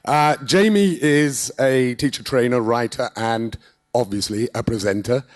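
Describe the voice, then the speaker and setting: A man with a hoarse, failing voice giving a formal, halting introduction of a speaker at a conference. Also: mid-range voice. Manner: halting, with fillers. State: hoarse, losing his voice. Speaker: event host introducing a speaker. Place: conference hall.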